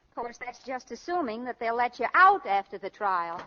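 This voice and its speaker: Casting: earnest, forgiving sweetheart, high voice, on a noisy vintage radio recording. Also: female voice, sing-song, normal speed, pushed and bright. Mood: dismayed, forgiving. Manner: soothing, earnest. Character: devoted, worried, forgiving young wife or sweetheart. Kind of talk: old-time radio acting. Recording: dull, noisy old radio broadcast.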